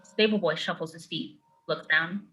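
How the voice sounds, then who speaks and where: Bright, low-voiced woman narrator. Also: moderate pace, soft background music, rough recording. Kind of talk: reading screenplay stage directions.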